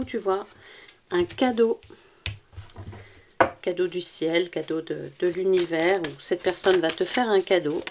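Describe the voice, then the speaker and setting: A woman giving an informal relationship guidance reading as an intuitive coach, speaking straight to the listener in a halting, repetitive, reassuring way that searches for words. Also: fairly low pitch, noisy background.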